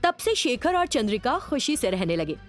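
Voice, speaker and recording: Animated woman narrating a story. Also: a little high, moderate pace, soft background music. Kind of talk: scripted children's story narration.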